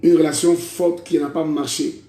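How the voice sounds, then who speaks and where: A man's voice: a little high, little pitch movement, normal speed, mellow. Declaiming preacher man, echoey room. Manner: declamatory, repeating phrases, addressing listeners as brother. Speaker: prophet preacher. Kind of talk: prophetic sermon and online prophecy.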